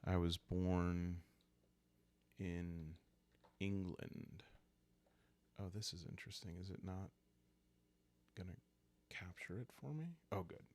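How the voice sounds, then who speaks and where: A man with a calm, creaky voice hosting a relaxed solo roleplaying game, musing aloud in an unhurried, hesitant way. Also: low voice. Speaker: host of a solo roleplaying playthrough. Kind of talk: relaxed ASMR game narration, thinking aloud.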